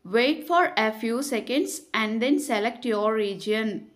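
High-voiced woman narrating a tutorial. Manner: instructional, measured, reading from a script. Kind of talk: scripted step-by-step tutorial narration.

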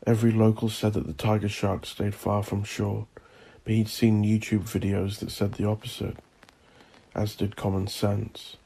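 Husky low-voiced guy reading a horror audiobook, intense and theatrical, pitch swings all over. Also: mellow. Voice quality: gravelly, husky. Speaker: audiobook narrator. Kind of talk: dramatic scripted horror narration.

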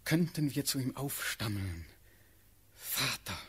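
Ardent, very husky young prince. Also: male voice, medium pitch, sing-song, takes it slowly, heavily acted. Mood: tender, yearning. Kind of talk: classical German radio play verse acting.

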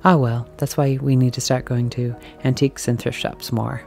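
Woman vlogger, mellow tone, chatty shop walk-through, faint music underneath. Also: rumbling low, level tone, moderate pace, creaky. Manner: chatty, musing aloud, drifting into soft whispers. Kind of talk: casual walk-and-talk commentary. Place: large indoor antique and thrift shop. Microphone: handheld camera mic, filming as she walks.

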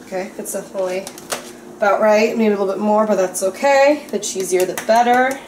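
A woman's voice: medium pitch, a little fast, a little mellow, a little hiss or hum. Bubbly young woman cooking at home. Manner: bubbly and dramatic. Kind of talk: casual cooking vlog. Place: home kitchen. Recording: echoey room with faint ambience.

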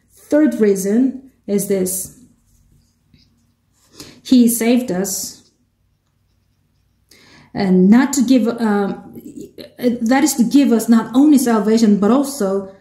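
A woman with a Korean accent interpreting a sermon into English, measured and halting, with restarts. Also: on the high side, dark tone, roomy sound.

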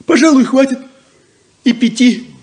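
An actor playing a naive, hot-headed young son in a 1970s radio play, excitable and pleading, stammering when flustered. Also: male voice, extremely high pitch, a little slow, slight background noise. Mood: eager, teasing.

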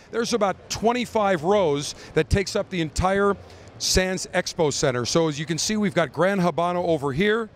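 A middle-aged man with a notably high voice runs a live roving tour, a brash, joking showman who teases his guests and keeps things moving. He talks into a handheld mic on the floor of a big indoor convention hall. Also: slight background noise. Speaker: radio show host touring a trade show. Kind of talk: live roving interviews and walkthrough. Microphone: handheld interview mic.

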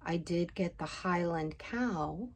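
A woman in her forties talks to the camera from a small home art studio in a low, slow voice. She rambles in a relaxed, self-talk way, still groggy from the morning. Her pitch sits on the deeper side and she takes her time. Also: slightly rough. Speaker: artist vlogger. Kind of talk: casual studio vlog.

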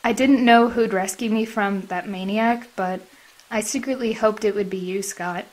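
A woman's voice: medium pitch, fairly even intonation, normal speed, natural voice. A woman voicing a dry, teasing, friendly teenage schoolgirl in a comic dub. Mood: tender.

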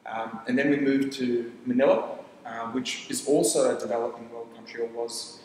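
A young man talks loosely through his business in a roomy studio, the echo ringing out with a long reverb tail. He jumps between lists and restarts phrases as he goes, and a faint hiss sits under his mid-pitched voice. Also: steady tone, a little mellow. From Papua New Guinea. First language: Tok Pisin. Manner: rambling, restarts phrases, lists ideas rapidly. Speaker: startup founder. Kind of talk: casual interview talk. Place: studio set with armchairs. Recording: echoey room with long reverb.

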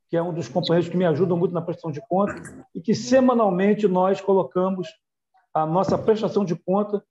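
Casting: male community leader, Rio accent, high mellow voice, halting speech-giving over lo-fi call. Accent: Rio de Janeiro. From Rio de Janeiro, Brazil. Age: middle-aged. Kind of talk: thank-you speech on a video call. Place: small room on a video call. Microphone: wired earphone mic. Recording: dull, lo-fi video call audio.

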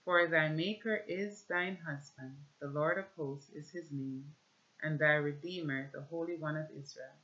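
A woman in her thirties with a Jamaican accent gives an earnest, measured religious testimony at home, talking to camera. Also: deep voice, a bit bright, highs cut off. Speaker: speaker giving a personal religious testimony. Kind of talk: personal testimony with scripture reading, talking to camera. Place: small indoor room at home with shelves.

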